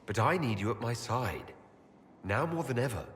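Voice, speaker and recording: Man playing an earnest elven hero, lively intonation. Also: medium pitch, moderate pace, long reverb tail, lightly acted. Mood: pleading devotion. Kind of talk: video game cutscene acting.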